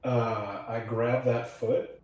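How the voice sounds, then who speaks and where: A man's voice: mid-range voice, little pitch movement, slow pace, darkish tone. A man chatting casually and swearing loosely as he plays a tabletop roleplaying game, recorded in an echoey room away from the mic.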